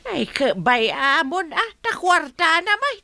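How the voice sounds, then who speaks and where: Sharp, fussy Ilocano mother. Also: very high voice, big pitch swings, a little slow, full character voice. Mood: reproving. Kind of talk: Ilocano radio comedy drama acting.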